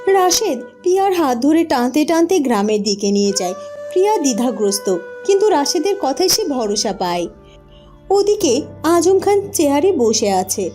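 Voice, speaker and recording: Mellow woman narrating a story. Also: sits high, sing-song, moderate pace, soft background music.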